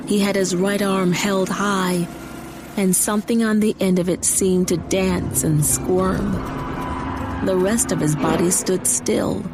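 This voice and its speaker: A woman giving scripted, dramatic narration for a horror audiobook. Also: fairly low pitch. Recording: music behind the voice.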